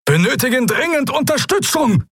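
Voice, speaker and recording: A man voicing a panicked male captain calling for help under fire, with a shrill, screeching delivery. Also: very animated, moderate pace, a bit bright, husky, dry recording, studio quality, full character voice. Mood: desperate.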